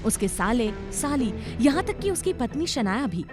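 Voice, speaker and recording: A woman narrates a drama serial with dramatic flair. Her voice is fairly high and very animated, over a soft music bed. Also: normal speed. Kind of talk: dramatic scripted story narration.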